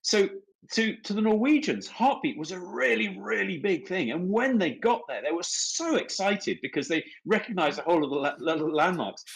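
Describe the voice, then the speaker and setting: Middle-aged man, British accent, emphatic and animated, conversational storyteller who doubles back on words. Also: sits high, normal speed, creaky, low-quality recording. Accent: British English. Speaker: company executive on livestream. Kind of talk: casual anecdotal chat.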